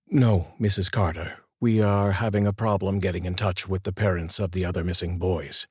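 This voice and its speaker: A male storyteller voicing a calm, formal police detective who speaks in a measured, soft tone. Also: sits low, breathy edge, no echo, studio quality. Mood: somber.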